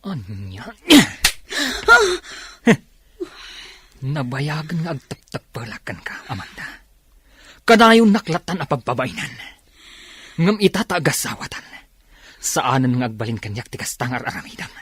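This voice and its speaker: An actor playing a possessive, demanding new husband in a radio drama, with an Ilocano accent. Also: male voice, mid-range voice, big pitch swings, moderate pace, fairly bright tone, husky, dry recording, clean studio sound. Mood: angry, threatening.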